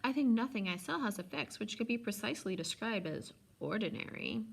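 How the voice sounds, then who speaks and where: A woman's voice: mid-range voice, lively intonation, a little fast, gravelly, breathy edge, pitched above the performer's own voice. A woman voicing a polite, sly, coolly indifferent old man selling potions in a storybook reading, with precise, insinuating salesman's patter. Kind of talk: storybook read-aloud.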